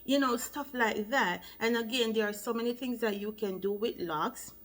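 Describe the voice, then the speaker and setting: A woman's voice: fairly high pitch, normal speed, fairly bright tone. A woman with a Jamaican accent, a loctician talking casually to camera and explaining things step by step, often checking in with "okay?". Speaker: loctician and channel host. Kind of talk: casual advice vlog to camera.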